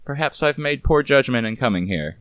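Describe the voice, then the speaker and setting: A man's voice: medium pitch, animated, a little fast, fairly bright tone, clear, resonant tone, no room sound, voice pushed up, lightly acted. A man voicing a glamorous, sardonic movie-star woman with an arch, condescending manner in a noir audio story. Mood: scornful, cool.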